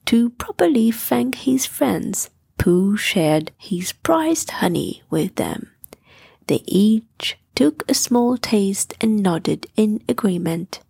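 Woman reading a kids bedtime story, soft n slow, creaky, close dry sound. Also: mid-range voice, expressive, no echo. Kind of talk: scripted bedtime story narration for children.

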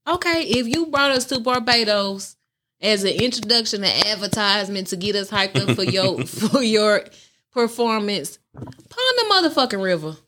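A woman co-hosts a casual podcast and airs blunt opinions full of cussing. Her voice sits at a middle pitch. She talks plainly and without holding back. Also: very animated, normal speed, fairly bright tone. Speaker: podcast co-host. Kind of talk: casual chat.

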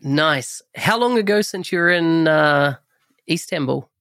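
A man hosting a podcast, reading a measured scripted intro and then chatting with a guest. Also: fairly high pitch, animated, a bit bright. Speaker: podcast host.

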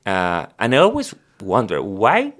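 A man with an Argentine Spanish accent and a very low voice talks through faith in a reflective, explanatory way. His English carries the rhythm of a native Spanish speaker, padded with small "uh"s and "I mean"s as he thinks aloud. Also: wildly swooping pitch, unhurried, fairly bright tone. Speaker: priest guest. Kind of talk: conversational religious podcast talk.

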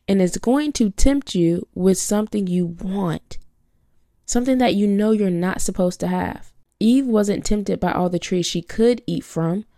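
A woman's voice: lowish voice, warm tone, no echo, studio quality. Congested woman hosting a faith podcast. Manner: earnest, preachy, repeats key phrases. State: congested from a cold. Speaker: podcast host. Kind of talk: faith-based podcast teaching.

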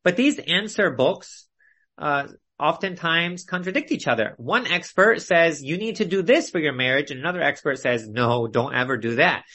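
A man delivering an expository Bible study sermon as a preacher, speaking deliberately in short, emphatic phrases. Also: on the high side, expressive, sharp tone. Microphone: video call.

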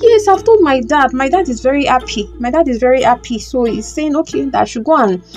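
A woman's voice: sits high, expressive, a little fast, music in the background. A woman playing a deferential, pleading young mother in an audio drama, with a Nigerian accent. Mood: hopeful. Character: distressed, grateful young mother, pleading daughter.

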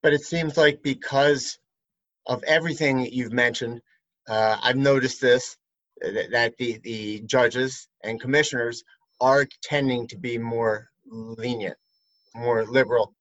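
A man who works as an attorney, asking a colleague questions in a relaxed, rambling way with many fillers. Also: mid-range voice, a little slow, dry recording, muffled recording. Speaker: attorney and interviewer. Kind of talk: conversational chat.

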